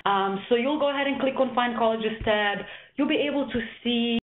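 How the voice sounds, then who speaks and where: A woman's voice: on the high side, fairly quick, a little hiss or hum. A young woman from Serbia, a volleyball coach, giving a webinar presentation with many ums and restarts. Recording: tinny webinar audio, echoey room.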